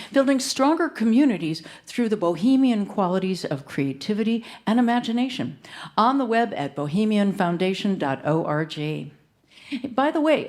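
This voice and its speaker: A woman's voice: mid-range voice, darkish tone. A woman announcer reading scripted sponsor credits and promos for a radio show.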